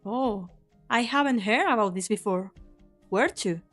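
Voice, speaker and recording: A woman's voice: mid-range voice, expressive, unhurried, fairly bright tone, faint music behind. A woman playing a cynical, sly young mercenary leader in an anime game fan dub, with a sardonic, taunting delivery. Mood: mocking, incredulous.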